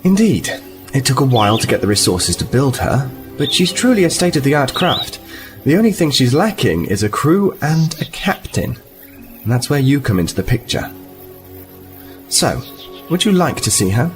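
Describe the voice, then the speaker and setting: An actor playing a polite, earnest and somewhat halting envoy from a space fleet in a science fiction audio drama. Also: male voice, medium pitch, very animated, music in the background, close to an everyday voice. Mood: earnest, persuasive. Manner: apologetic, halting, then insistent. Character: polite, earnest, hesitant Starfleet envoy on assignment.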